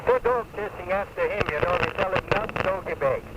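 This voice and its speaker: A man who is not a native English speaker, talking haltingly with irregular grammar as a radio guest promoting his restaurant. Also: high-pitched, wildly swooping pitch, creaky. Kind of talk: casual promotional interview. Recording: noisy muffled 1970s AM radio cassette recording.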